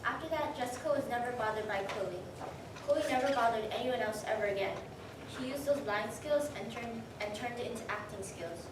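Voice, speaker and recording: A woman's voice: on the high side, lively intonation, fairly quick. A woman narrating a scripted story for a reader's theater performance. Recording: distant mic, very echoey room, noisy.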